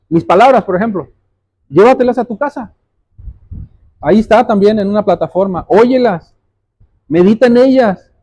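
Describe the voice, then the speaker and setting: A man delivers a sermon in a high-pitched yet mellow voice. He explains scripture like a teacher, repeating key phrases and asking pointed questions of his listeners. The sound is dry and a little muffled. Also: no room sound. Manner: addresses listeners directly as brothers. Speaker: preacher.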